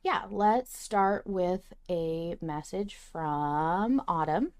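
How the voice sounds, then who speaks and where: A woman's voice: on the low side, expressive, extremely slow, a little mellow, smooth. A woman co-hosting a podcast, chatting casually and effusively about fiction, with some swearing. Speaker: podcast co-host. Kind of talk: casual fan discussion chat.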